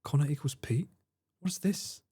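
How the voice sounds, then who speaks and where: A man talks to his stream audience in a loose, joking way, laughing often and swearing without fuss. His voice sits a little high for a man. It is picked up close on a dry mic with no room sound. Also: normal speed, warm tone, slightly rough, dry recording. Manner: laughs easily, swears casually, chats to viewers. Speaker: streamer reviewing viewer clips. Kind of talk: casual live-stream chat.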